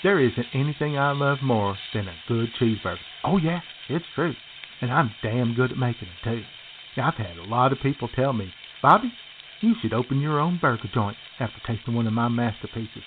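A man's voice: mid-range voice, narrow, tinny audio, slight background noise. A man presenting a chatty how-to radio segment in an emphatic, bragging, instructive manner.

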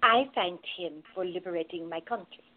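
An actress playing a playful, bold woman who teases deadpan in simple broken English in a radio play. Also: on the low side, normal speed, phone-quality sound, natural voice. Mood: mischievous. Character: teasing foreign woman in costume, playful, bold, quick-witted.

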